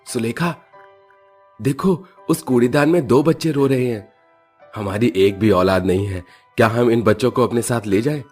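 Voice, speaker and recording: A male actor plays a gentle husband in a cartoon tale. His voice is husky and mid-pitched, earnest in its appeal. Music plays underneath. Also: normal speed, close to an everyday voice. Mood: earnest, hopeful. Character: gentle, childless husband, hopeful and caring. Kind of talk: cartoon story voice acting. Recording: music behind the voice.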